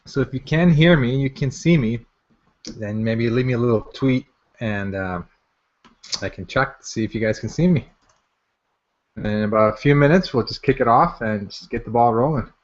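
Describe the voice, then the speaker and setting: Male webinar host, mid-pitched, informal and off the cuff, repeats himself, slightly muffled lo-fi sound. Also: normal speed. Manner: informal, repeats phrases as he goes. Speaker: software maker hosting a product launch webinar. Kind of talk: casual unscripted live webinar presentation. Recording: dull, lo-fi webinar audio.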